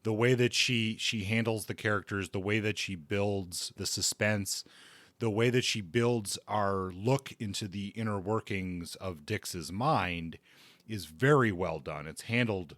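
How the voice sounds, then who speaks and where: Male podcast host, slightly low pitch, dry recording, casual book talk, deliberate phrasing. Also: fairly bright tone, no echo. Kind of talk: book discussion, casual chat.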